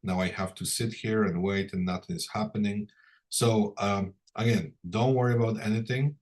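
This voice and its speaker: A man's voice: fairly low pitch, fairly even intonation, moderate pace, darkish tone. A man giving an informal lesson as an instructor, talking in a reassuring, conversational way. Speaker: instructor training interpreters.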